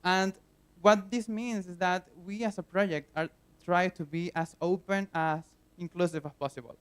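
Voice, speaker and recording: Venezuelan guy explaining stuff at a conference, highish voice, smooth and clear, lots of uhs. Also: steady tone, normal speed. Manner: earnest, plain explaining with frequent fillers. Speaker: conference speaker. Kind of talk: technical conference talk. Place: conference hall.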